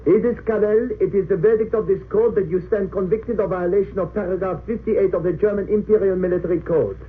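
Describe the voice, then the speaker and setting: Actor as a high-pitched, imperious military judge, vintage radio. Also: male voice, steady tone, brisk, natural voice. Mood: stern, pronouncing. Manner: imperious, sarcastic, clipped interrogation. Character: stern, sneering German military court judge. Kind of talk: old-time radio drama acting. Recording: tinny narrow-band old radio recording, hissy.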